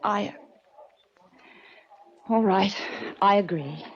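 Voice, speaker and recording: A woman's voice: mid-range voice, drawn-out words, husky. An actress playing a guarded, anxious, well-spoken woman in her twenties, earnest and hesitant, in a 1940s radio drama. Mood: guarded, worried. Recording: dull old 1940s radio recording.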